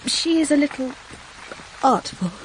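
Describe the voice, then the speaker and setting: An actress playing a reserved, earnest young governess with a prim, guarded manner in a period audio drama. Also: female voice, sits high, animated, measured pace, poor audio. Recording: clear rain noise behind the voice.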